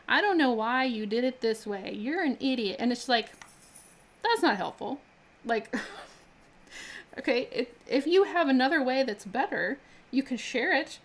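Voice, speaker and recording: Chatty woman crafting on a live stream. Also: on the high side. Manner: chatty, laughs often, many fillers and restarts. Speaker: miniature artist streaming live. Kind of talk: casual chat while crafting.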